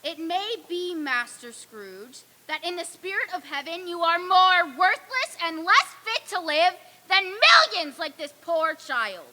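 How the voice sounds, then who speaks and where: A stern ghostly spirit played by a woman, high and very bright, declaiming in a live radio play. The words come slowly in a long hall reverb. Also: sing-song, full character voice. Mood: stern, ominous. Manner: slow, declamatory. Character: stern, commanding Christmas ghost guiding an old miser. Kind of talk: old time radio stage reading. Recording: reverberant hall, faint hiss.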